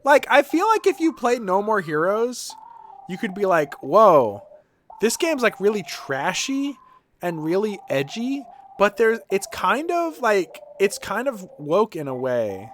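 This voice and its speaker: A man with a fairly high voice and very lively, swooping intonation, chatting as a game streamer. He rambles informally and offers opinions freely. There is clear noise behind the voice. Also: a little slow. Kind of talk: casual gaming stream commentary, reading aloud on-screen quotes.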